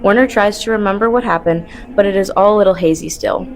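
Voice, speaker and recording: A woman's voice: medium pitch, quick pace, faint music behind, close to an everyday voice. A woman narrating a comic student radio play from a script. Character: storyteller of a comic melodrama.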